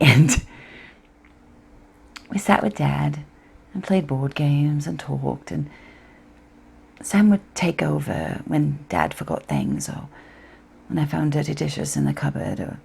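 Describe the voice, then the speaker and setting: Actress as disillusioned, wry young woman for stage monologue. Low, creaky voice, intimate and reflective. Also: darkish tone, exaggerated voice. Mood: sad.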